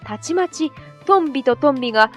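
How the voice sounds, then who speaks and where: Female storybook narrator: high voice, scripted children's tale delivery, music bed underneath. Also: animated, a little fast. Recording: soft music behind.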